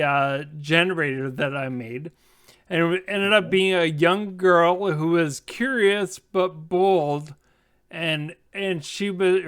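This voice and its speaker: A middle-aged man hosting a casual roundtable chat among game masters, asking questions in a measured way. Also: sits high, fairly bright tone, clean tone.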